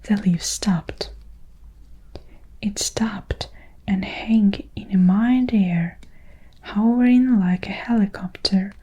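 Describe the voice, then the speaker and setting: A woman reading a children's storybook aloud in a steady, hushed whisper. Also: medium pitch, slow, pauses often, slightly rough.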